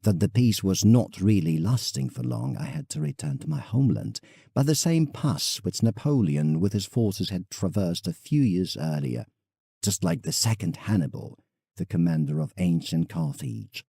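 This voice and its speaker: A man's voice: lowish voice, lively intonation, normal speed, creaky, warm tone, breathy edge, no room sound, clean studio sound, exaggerated voice. A man playing a proud, reflective English landscape painter in an audio drama, telling his travels formally as a letter to a friend.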